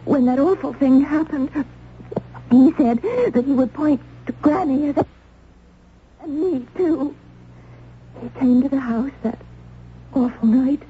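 Young doomed peasant maid, very high voice, pleading and fervent, on tinny old radio drama recording. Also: female voice, slight background noise. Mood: anguished. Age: young adult. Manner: halting, fervent, theatrical.

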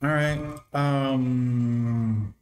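A man's voice: medium pitch, fairly even intonation, very slow, darkish tone. A man hosting a reaction video, mocking the clips with sarcastic commentary aimed at his viewers. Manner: sarcastic, derisive, speaks to viewers as "guys".

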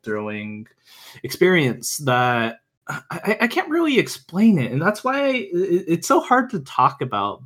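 Earnest, halting man on a podcast. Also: medium pitch, a bit bright. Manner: earnest, opinionated, halting as he searches for words. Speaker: podcast guest, visual novel enthusiast. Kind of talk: casual podcast chat.

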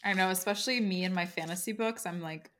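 A woman's voice: lowish voice, fast, fairly bright tone. A woman co-hosting a podcast, chatting informally and reading tarot cards in an encouraging, slangy way. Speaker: podcast co-host. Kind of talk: casual chat with tarot card readings.

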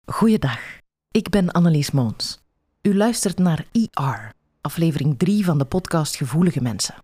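A woman's voice: sits low, expressive, moderate pace, mellow, husky, no echo, studio quality. A Flemish woman reading a scripted podcast introduction as presenter.

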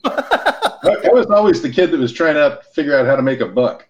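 A man's voice: fairly high pitch, very animated, moderate pace. A middle-aged American man talking as a podcast guest, explaining business casually in plain, colloquial language. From Los Angeles and Hawaii, United States. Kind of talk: casual conversational interview, explaining with anecdotes.

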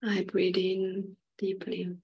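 A woman leading an unscripted guided meditation in short halting phrases, with a breathy voice that sometimes nears a whisper. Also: medium pitch, completely flat delivery, dull audio.

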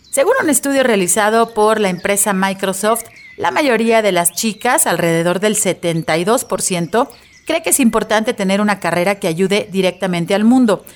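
A woman from Mexico, hosting an educational radio show and reading a scripted introduction in a steady, informative way. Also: medium pitch.

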